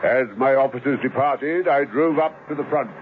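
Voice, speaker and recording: An actor playing a pompous older brigadier in a 1970s radio play, barking military orders. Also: male voice, mid-range voice, moderate pace, natural voice. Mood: commanding. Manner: barking military commands, blustery. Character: pompous old male brigadier reliving battles. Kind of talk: 1970s radio drama acting. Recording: tinny lo-fi old radio broadcast with hiss.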